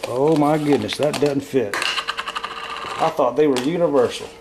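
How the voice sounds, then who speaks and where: Hoarse man chatting through a home demo. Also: mid-range voice, unhurried, very noisy. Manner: rambling, chatty, self-correcting. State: hoarse from bronchitis. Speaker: camping vlogger. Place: at home, indoors.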